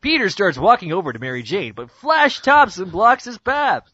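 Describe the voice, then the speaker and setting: Casting: male amateur narrator, high lively voice, mock-dramatic comic reading, muffled internet call sound. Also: moderate pace, breathy edge, dry recording. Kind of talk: comic dramatic script reading among friends. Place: Skype group call.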